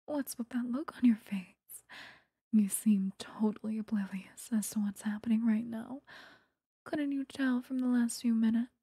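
Woman acting as possessive female vampire, whispered ASMR roleplay, extremely breathy, intimate, seductive, dry close-mic sound. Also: fairly high pitch, steady tone, dark tone, no echo. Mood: teasing, possessive. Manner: slow, intimate, lingering delivery. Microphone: close microphone.

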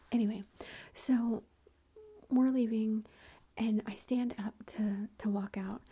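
A woman's voice: a little high, fairly even intonation, slow. A woman whispering through a long personal story, with many fillers and quoted lines of dialogue. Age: adult with a son. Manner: whispering, soft and breathy. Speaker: vlogger.

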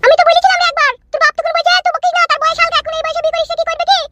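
A performer voicing a sharp-tongued, exasperated middle-aged village mother in a comedy cartoon, nagging in a shrill voice. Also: little pitch movement. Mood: scolding. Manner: nagging, rapid-fire scolding. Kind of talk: animated comedy cartoon dialogue.

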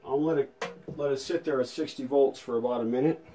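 A man's voice: medium pitch, brisk, dark tone, faint music behind. A man giving a casual hands-on demonstration as a vintage radio restorer, thinking aloud in an informal, tentative way.

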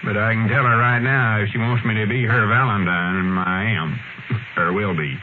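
A man's voice: on the low side, fairly even intonation, normal speed, close to an everyday voice. A man playing an earnest, lovestruck old country storekeeper with a rural Southern accent and folksy, hesitant talk, in radio comedy style. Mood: defensive, hopeful. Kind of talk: 1930s-40s radio comedy acting. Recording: old radio broadcast, narrow band, noisy.

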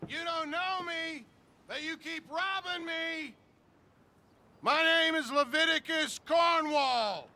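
An actor playing an enraged, imperious rich tycoon in a western video game, bellowing haughty threats. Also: male voice, very high-pitched, takes it slowly, bright, forceful tone, exaggerated voice. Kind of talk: dramatic video game acting.

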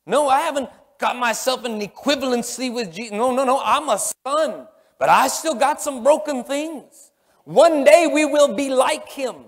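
A man's voice: extremely high pitch, normal speed. A middle-aged man preaching a sermon in an emphatic, repetitive way from a church stage. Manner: emphatic, repetitive, swinging from loud exclamations to hushed murmurs. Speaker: pastor preaching. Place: large church sanctuary, on stage. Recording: long hall reverb from sanctuary.

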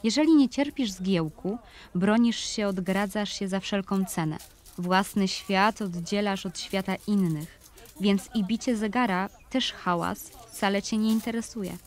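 A woman narrating scripted literary prose for an audio play in a composed, clearly phrased storytelling manner. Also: mid-range voice, a little slow.

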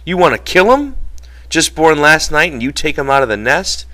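A man voicing a protective, exasperated ranch hand in a storybook reading, telling things forcefully in folksy, ungrammatical speech. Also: on the high side, expressive, normal speed, voice pushed up, poor audio. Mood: scolding.

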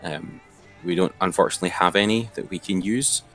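Male software tutor, deep register, informal and hesitant walk-through, faint music bed. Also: brisk. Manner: hesitant, thinking aloud. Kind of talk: informal step-by-step screen tutorial.

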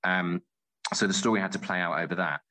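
A man with a deep, bright voice talks as an interview guest. He is articulate and thoughtful, explaining things in a conversational, storytelling way. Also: fairly even intonation, brisk, poor audio.

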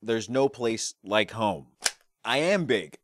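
A young man performing classic movie characters in short, deadpan, theatrical one-liners. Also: fairly low pitch, slow. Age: young adult. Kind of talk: amateur impressions of film quotes. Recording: clearly noisy background with clicks.

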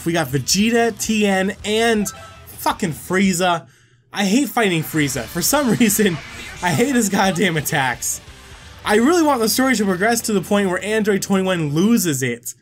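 A man's voice: sits high, expressive, moderate pace, faint music behind. A man giving casual, rambling gameplay commentary as he plays, swearing freely and often repeating himself. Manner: profane, rambling, often repeats words and exclaims. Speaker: gaming video creator and player.

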